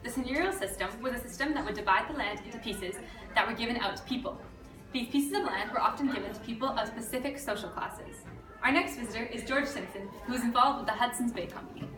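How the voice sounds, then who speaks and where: A woman reading scripted interview questions for a school project, in a soft, careful way. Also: fairly high pitch, fast. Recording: echoey room, far from the mic, music behind.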